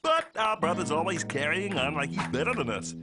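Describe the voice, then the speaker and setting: Slangy, laid-back slacker cartoon pig. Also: male voice, a little high, big pitch swings, brisk, loud music behind the voice. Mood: resentful, laid-back. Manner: hesitant, slangy hippie drawl. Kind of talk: cartoon voice acting.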